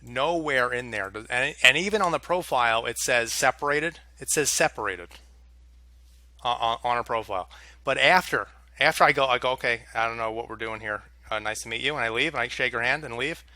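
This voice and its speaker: A man's voice: mid-range voice, a little fast, bright, forceful tone, dry recording. A young man telling a story to camera in a casual, exasperated rant with plenty of swearing. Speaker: vlogger.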